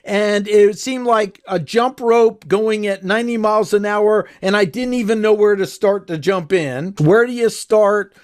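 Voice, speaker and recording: A man with a clearly high-pitched voice hosts a casual interview. He speaks conversationally, as an interviewer chatting with a guest. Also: a little slow, few pauses.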